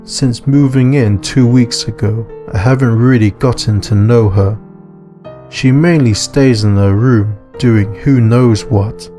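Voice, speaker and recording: A man playing a big, earnest, suspicious man in a visual novel, speaking in a deliberate, questioning way. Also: medium pitch, mellow, soft background music, close to an everyday voice. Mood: pondering.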